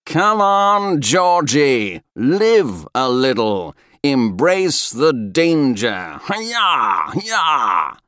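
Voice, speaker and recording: A man's voice: mid-range voice, sing-song, takes it slowly, no echo, pitched above the performer's own voice. Casting: jovial daredevil spaceman, performed by a male reader, hearty and teasing, swooping intonation, close dry sound. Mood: exhilarated, yelling.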